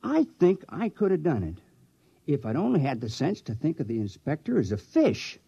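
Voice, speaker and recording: A man's voice: on the high side, huge pitch swings, normal speed, creaky, mellow, husky, heavily acted. A man playing a sheepish, plain-spoken, rueful village fisherman in a 1950s radio drama. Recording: dull old radio recording.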